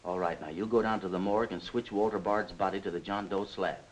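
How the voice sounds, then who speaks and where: A man acting a hard-nosed bully in a forties crime picture. His voice is low and he talks fast, barking curt, threatening orders. It has the sound of an old film soundtrack. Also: fairly even intonation, close to an everyday voice, low-quality recording. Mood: forceful, bullying.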